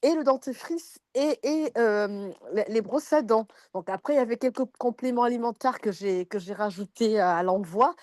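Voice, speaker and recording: Hesitant woman with a higher voice. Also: moderate pace, hardly any pauses, darkish tone, no room sound. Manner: hesitant, many fillers and restarts, friendly. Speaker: customer giving a testimonial. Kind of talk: casual testimonial on a video call.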